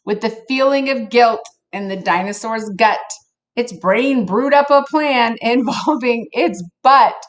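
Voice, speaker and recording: A woman reading a picture book aloud to children in an expressive, playful way, with casual asides to her listeners. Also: a little high, measured pace. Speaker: storybook reader for children.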